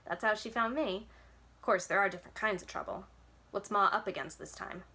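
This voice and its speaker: A woman's voice: medium pitch, fairly quick, sharp tone. A big old man with a bushy beard and an easygoing, confident manner, as a woman storyteller reads him. He talks in a folksy way, like a roguish helper in a children's storybook. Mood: thoughtful, easygoing.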